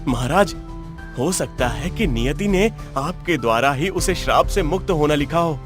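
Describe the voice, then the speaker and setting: Man playing a high-voiced loyal royal messenger. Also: animated, normal speed, music in the background, close to an everyday voice. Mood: respectful, assured. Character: loyal, reassuring male messenger to a king. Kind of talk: Hindi cartoon story voice acting.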